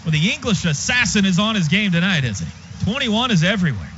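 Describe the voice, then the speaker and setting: High-pitched American man calling football on radio. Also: fairly quick, faint music behind. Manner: clipped present-tense phrases, excitable on big plays. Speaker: play-by-play announcer. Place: stadium radio booth. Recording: dull, noisy stadium radio broadcast.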